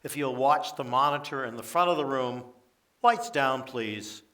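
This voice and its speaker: A man's voice: medium pitch, measured pace, a bit bright, close to an everyday voice. A man in community radio theater playing a formal official who addresses a meeting in an orderly, announcing manner. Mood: businesslike, formal. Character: formal male official chairing a solemn meeting. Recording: distant mic with crowd sounds behind.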